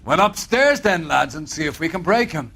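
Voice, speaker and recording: An actor playing a senior police captain in a game, with a hearty, paternal, authoritative way of talking. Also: male voice, on the high side, expressive, normal speed, vocal fry, pushed and bright, a little hiss or hum, full character voice. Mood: commanding.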